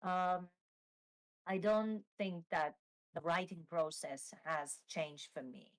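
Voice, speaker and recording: Slow, low-voiced Italian woman author. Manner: hesitant, many fillers and restarts. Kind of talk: live online Q&A.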